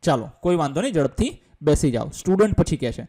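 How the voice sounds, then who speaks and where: A male teacher with a Gujarati accent speaks in a slightly high, softly mellow voice. He reads out English lines and then explains them simply. His delivery is colloquial and step by step, with questions he answers himself. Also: big pitch swings, quick pace.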